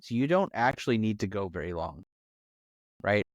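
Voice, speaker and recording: A man's voice: fairly low pitch, expressive, moderate pace, no echo, lo-fi sound. A man giving advice in a casual discussion, explaining step by step and checking in as he goes. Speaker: discussion panelist on a game master advice show.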